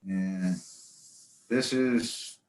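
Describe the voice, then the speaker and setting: Low-voiced rambling man in an echoey room. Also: a little mellow, slight background noise. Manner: rambling, halting, folksy. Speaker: presenter, amateur radio hobbyist. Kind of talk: informal club slide talk.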